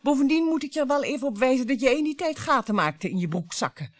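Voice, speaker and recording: A woman's voice: high voice, lively intonation, fast, no room sound. An actress playing a bitter, mocking wife in her fifties in a radio play, with a theatrical way of talking. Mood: wry, confiding. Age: 50s. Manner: theatrical, mocking, swinging between coaxing and scorn. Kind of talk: radio drama acting.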